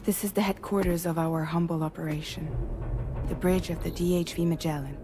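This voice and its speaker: A woman's voice: lowish voice, fairly even intonation, a little fast, music in the background, close to an everyday voice. A woman acting as a composed, resolute ship commander in a cinematic video game. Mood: composed, explanatory. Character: composed female commander of a civilian ship crew, welcoming, resolute.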